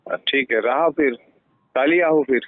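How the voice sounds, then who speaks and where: A man's voice: medium pitch, takes it slowly, no echo. A man with a Chhattisgarhi accent in a private recorded phone conversation, speaking in terse, informal phrases. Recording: tinny, dry recorded phone call.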